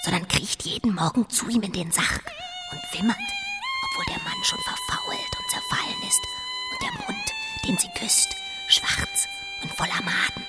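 A woman's voice: high-pitched, fairly bright tone, full character voice. An actress playing a headstrong, scornful young woman in a radio play, emphatic and dramatic, at times hissing in whispers. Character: headstrong, scornful young female, blunt and insistent. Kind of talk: German radio play acting.

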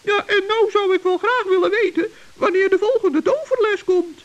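A woman's voice: very high-pitched, normal speed, muffled recording. A woman voicing a cheerful, brave male forest gnome in an old children's radio play, chatty and earnest. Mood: chirpy.

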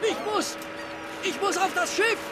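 An actor in a German radio play playing a desperate, pushy British police detective, urgent and insistent. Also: male voice, extremely high pitch, unhurried. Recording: loud vehicle noise, harbour scene effects.

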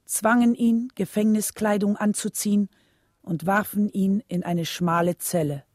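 Schauspielerin als dunkel timbrierte, ernste Erzählerin. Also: female voice, mid-range voice, steady tone, darkish tone, no echo, studio quality, natural voice. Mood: serious, reflective. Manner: measured, serious. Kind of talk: scripted radio drama narration.

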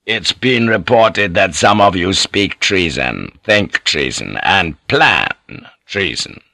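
A man voicing a cold, ruthless Japanese feudal warlord in an audiobook, curt, commanding and deliberate. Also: deep voice, pushed and bright, no room sound, studio quality, pitched below the performer's own voice, full character voice. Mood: cold accusation. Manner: curt, menacing, slow and deliberate. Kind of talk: dramatic audiobook character reading.

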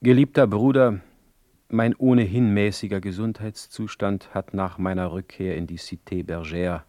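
Radio drama narrator: ailing exiled poet, very low voice, close dry sound, ironic, literary letter-reading. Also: male voice, darkish tone, no room sound. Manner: articulate, literary, wry.

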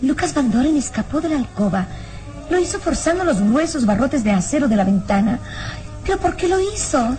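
Anxious, high-voiced young noblewoman. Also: sing-song, a little fast, warm tone, soft background music. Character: anxious young daughter of a baron. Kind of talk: radio drama acting.

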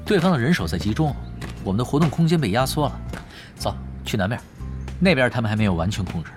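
A man's voice: medium pitch, lively intonation, fast, music in the background, natural voice. An actor playing a cool, unflappable male veteran gamer in a Chinese audio drama, casual, confident and teasing. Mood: relaxed, confident.

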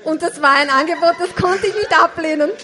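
A woman interpreting a sermon live, with quick, slightly improvised phrasing. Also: very high voice, very noisy.

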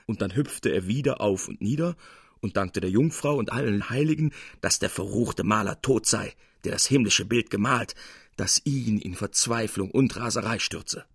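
A man reading a literary tale as narrator, with precise, expressive and theatrical storytelling. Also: fairly low pitch, normal speed, vocal fry, slightly rough. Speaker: narrator, reader.

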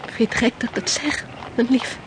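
A sailor's young sweetheart, played by a woman in a historical radio drama. She is teasing, tender and affectionate, with clear ambient noise behind her. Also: a little high, fairly quick, lightly acted. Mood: tender, anxious. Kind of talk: historical radio play acting.

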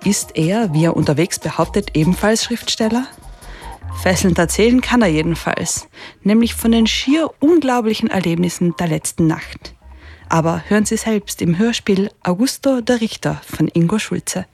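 A female radio presenter reading a scripted introduction to a drama. Her voice sits at a middle pitch, with faint music behind it. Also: animated, normal speed, darkish tone, slightly rough.

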